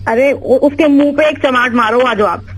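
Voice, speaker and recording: A woman's voice: high-pitched, moderate pace. A woman in a casual phone conversation, talking in a blunt, colloquial way over a very noisy line. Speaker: prank call recipient.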